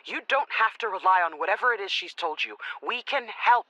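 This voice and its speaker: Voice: mid-range voice, lively intonation, a little fast, bright, forceful tone, dry recording, highs cut off. An actor playing a manipulative corporate official in an audio drama, controlled, condescending and coolly persuasive. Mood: condescending persuasion.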